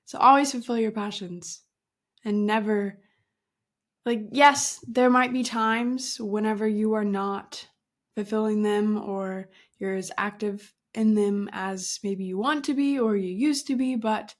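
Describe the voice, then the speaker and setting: A woman's voice: mid-range voice, fairly even intonation, measured pace. A woman of twenty-two hosting her own podcast, chatting casually with giggles, emphatic pep talk and mild swearing. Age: 20s. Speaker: podcast host.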